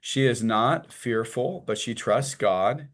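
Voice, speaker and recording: A man's voice: medium pitch, slow. A man teaching an informal church class, talking in a folksy, conversational way and acting out little quotes and images as he goes.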